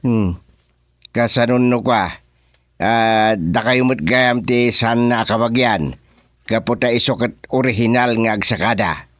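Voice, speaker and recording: A man's voice: mid-range voice, a bit bright, no room sound, studio quality, lightly acted. A man acting a mediating, questioning village chairman in a radio comedy drama, with an Ilocano accent. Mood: puzzled, questioning. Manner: deliberate, questioning.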